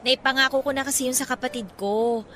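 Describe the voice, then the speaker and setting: A woman playing a chatty, expressive young bride-to-be and close friend in a Filipino radio drama, speaking colloquially with a Filipino accent. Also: high-pitched, brisk, a little hiss or hum. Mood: matter-of-fact. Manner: animated, exclaiming, colloquial.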